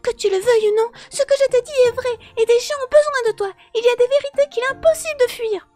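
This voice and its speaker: A woman voicing a determined, sharp-tongued young girl in an audiobook, speaking in a composed, firm and cutting way. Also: extremely high pitch, animated, brisk, faint music behind, voice pushed up. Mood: hard, cutting. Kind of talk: audiobook character dialogue.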